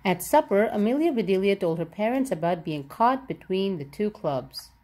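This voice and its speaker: A woman's voice: medium pitch, animated, moderate pace, warm tone. A woman reading a children's picture book aloud, expressively and with careful enunciation for young listeners. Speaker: read-aloud storybook narrator.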